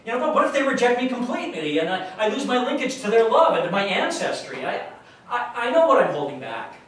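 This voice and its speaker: A man's voice: very high-pitched, big pitch swings, a bit bright, heavily acted. Man playing an earnest, anxious grandson, very echoey hall. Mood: anguished. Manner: halting, repeats himself. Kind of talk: staged reading, confessional monologue. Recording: very echoey hall, distant mic, noisy.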